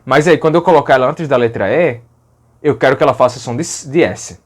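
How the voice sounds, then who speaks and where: A man's voice: medium pitch, quick pace. Chatty man explaining sounds. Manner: conversational, fillers like "né?", demonstrates sounds aloud. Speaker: language educator. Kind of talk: explanatory video lesson.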